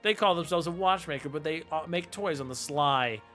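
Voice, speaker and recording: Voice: medium pitch, a little fast, a bit bright, soft background music, close to an everyday voice. A performer voicing a theatrical, chatty shopkeeper from a video game, reading the lines in a mock-polite, declamatory way. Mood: grandiose, jovial.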